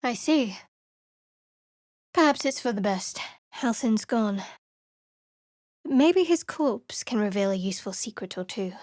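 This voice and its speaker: An actress voicing a guarded, devout young cleric woman in a video game, speaking in a measured, wry and secretive way. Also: on the high side, animated, a little mellow, dry recording, studio quality. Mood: wary, measured. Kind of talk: video game character voice lines.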